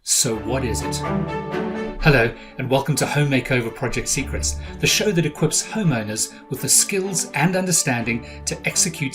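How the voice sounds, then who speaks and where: A middle-aged man from South Africa hosting a podcast, narrating from a script with dry humor. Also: medium pitch. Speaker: podcast host.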